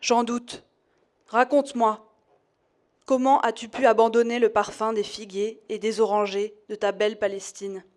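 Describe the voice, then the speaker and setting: A woman reading a poem aloud in a measured, deliberate way. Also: fairly high pitch, flat delivery. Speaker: audience member reading a poem. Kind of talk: poetry reading.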